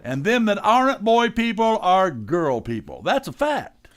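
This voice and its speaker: An actor playing a blustery, bumbling, well-meaning working-class dad in a live old-time radio comedy, with folksy, ungrammatical, theatrical talk. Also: male voice, sits high, very animated, measured pace, a bit bright, heavily acted. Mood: earnest lecturing.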